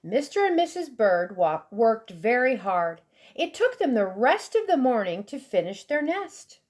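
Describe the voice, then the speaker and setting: A woman reading a children's storybook aloud expressively, with deliberate, dramatic emphasis for young listeners. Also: on the high side, sing-song, a little mellow. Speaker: storybook reader.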